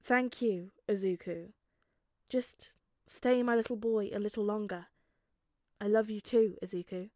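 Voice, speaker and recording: Woman playing a gentle affectionate dad in an audiobook, soft-spoken, close dry sound. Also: medium pitch, steady tone, a little slow, no echo, lightly acted. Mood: tender. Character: tender grieving father, a former villain devoted to his son. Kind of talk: audiobook character acting.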